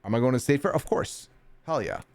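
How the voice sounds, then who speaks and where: A man's voice: medium pitch, animated, brisk. A man streaming and answering chat in a rambling, casual way with frequent laughs, his accent sometimes taken for British. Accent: mixed, sometimes heard as British. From North America. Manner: chatty, rambling, laughs often.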